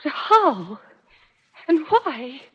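A woman's voice: high-pitched, sing-song, extremely slow, full character voice. An actress playing a distressed, bewildered woman in an old radio melodrama, plaintive and emotional. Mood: troubled bewilderment. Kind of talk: 1940s radio drama acting. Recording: dull, noisy 1940s radio recording.

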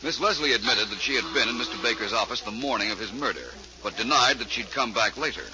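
An American actor playing a confident, smooth-talking private detective in 1940s radio drama, speaking in a deliberate, polite, wry way. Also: male voice, medium pitch, close to an everyday voice. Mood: patient explaining. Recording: dull, noisy 1940s radio recording.

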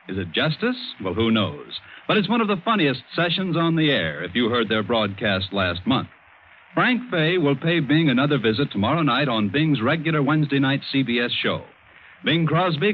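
Casting: male American network announcer, lively swings in pitch, folksy showmanship, tinny vintage broadcast sound. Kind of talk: 1950s radio promotional announcing. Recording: tinny, faintly hissy old radio recording.

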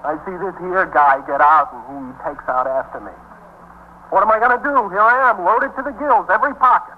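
An actor playing a cornered, self-pitying small-time drug dealer from the streets, rambling and griping in a 1950s radio drama. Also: male voice, high-pitched, brisk, natural voice. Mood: resigned, animated. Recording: muffled 1950s radio recording, faint hum.

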